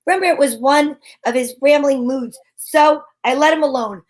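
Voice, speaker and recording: A woman playing a wisecracking amateur sleuth in an old-time radio play, narrating briskly in first person. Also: high-pitched.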